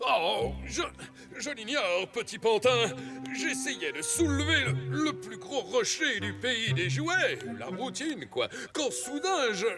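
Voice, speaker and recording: Bumbling strong toy for a cartoon, very high and slow, stammers. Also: male voice, very animated, creaky, music in the background, full character voice. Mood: puzzled. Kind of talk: dubbed cartoon acting.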